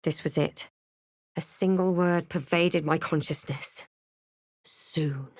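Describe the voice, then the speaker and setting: A woman playing a wry, brooding adventurer heroine in a fantasy video game, with a measured, dramatic delivery. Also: low voice, steady tone, no room sound, clean studio sound. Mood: brooding, solemn. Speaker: video game player-character voice actor. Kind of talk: scripted game narration and barks.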